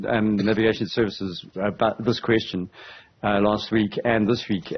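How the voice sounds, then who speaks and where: A man in his fifties gives measured, halting explanations as an interview guest. His voice is fairly low and husky. It comes over a video call with a slightly dull, lo-fi sound. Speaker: aviation commentator and interview guest. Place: indoor room on a video call. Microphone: headset on a video call.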